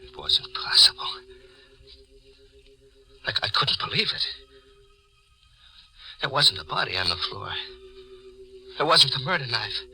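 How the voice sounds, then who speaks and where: A man's voice: medium pitch, sing-song, unhurried, gravelly, pauses often, pushed and bright, full character voice. An American actor playing a nervous, cornered man who narrates his own crime story in 1940s hard-boiled radio style. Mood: bewildered, mounting panic. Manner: jittery, hesitant in dialogue, hard-boiled in narration. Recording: noisy vintage 1940s radio recording.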